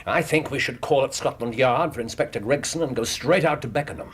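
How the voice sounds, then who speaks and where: An actor playing a brilliant, impatient British detective in a radio drama, clipped, precise and faintly superior. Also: male voice, mid-range voice, brisk, husky. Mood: urgent, decisive. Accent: British English. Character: brilliant, impatient male detective, incisive and commanding.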